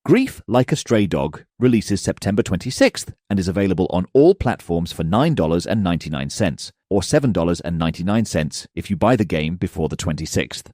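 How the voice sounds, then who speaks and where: A man's voice: low-pitched, very animated, fairly quick, few pauses, a little mellow, no room sound, studio quality. A man narrating a scripted video game review as the host of the channel.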